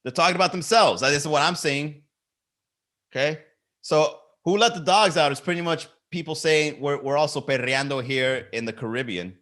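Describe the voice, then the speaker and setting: Male comedy podcast host, bright forceful voice, animated riffing, some swearing. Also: fairly high pitch, moderate pace. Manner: animated, swearing, rhetorical questions. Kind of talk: comedic riffing and lyric reading.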